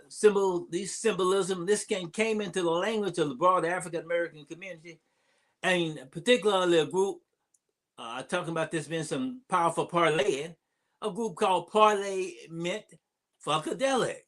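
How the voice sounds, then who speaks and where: Older man reminiscing in a halting public address. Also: high-pitched, fairly bright tone. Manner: halting delivery with long digressions. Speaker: imam giving a public address. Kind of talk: unscripted storytelling address, reminiscing.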